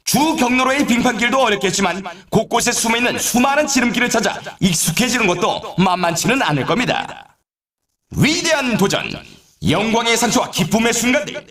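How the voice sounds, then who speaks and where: An actor voicing an excitable male snowboard race announcer in a video game, with a polished, showy scripted delivery. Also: very high voice, fairly quick, roomy sound. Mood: showy, hyped. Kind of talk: scripted game commentary, course introductions.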